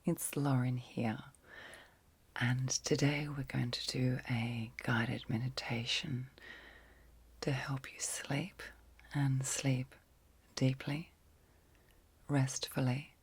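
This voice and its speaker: Slow, whispery woman guiding sleep meditation. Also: very deep voice, fairly even intonation, dry recording. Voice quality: soft, breathy, often whispered. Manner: gentle, soothing, long pauses between short phrases. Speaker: guided meditation host. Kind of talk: ASMR guided sleep meditation.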